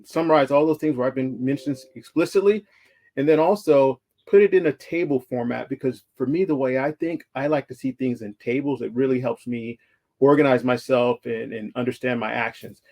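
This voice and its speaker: A man speaking at a virtual summit, walking through slides in a relaxed, conversational way. His voice sits at a middle pitch with a gravelly, creaky texture. He talks through a call microphone and often stops to restart his sentences. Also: a little fast. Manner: conversational, frequent fillers and restarts. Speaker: conference speaker. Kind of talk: presenting slides in a virtual talk.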